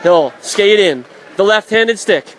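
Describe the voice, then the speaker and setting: A man calling live ice hockey play-by-play for radio in an excitable, vivid, dramatic manner. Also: sits high, slow, poor audio. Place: indoor ice rink broadcast position. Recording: loud crowd and rink noise behind. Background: hockey crowd and rink ambience.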